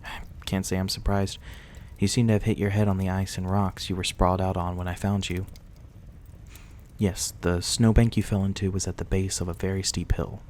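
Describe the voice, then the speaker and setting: A man playing a courteous, reclusive young-looking vampire in an intimate ASMR roleplay, speaking softly and reassuringly in a politely old-fashioned way. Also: sits low, slight background noise, close to an everyday voice.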